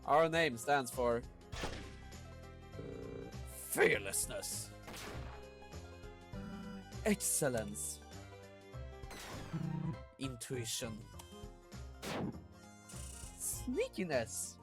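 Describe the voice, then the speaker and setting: A man's voice: mid-range voice, big pitch swings, very slow, pauses often. Showboating cowboy town boss played by a man, big swoops, folksy swagger, music behind. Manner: folksy, theatrical, grandstanding. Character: swaggering Wild West monster town boss, male, showboating.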